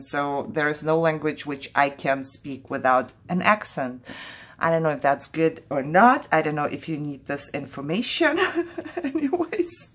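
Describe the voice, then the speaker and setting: A woman's voice: low voice, a bit bright. A woman from Kazakhstan whose first language is Russian hosts a casual crafting vlog in English. She talks in a chatty, jittery way and laughs often. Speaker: crafting channel host.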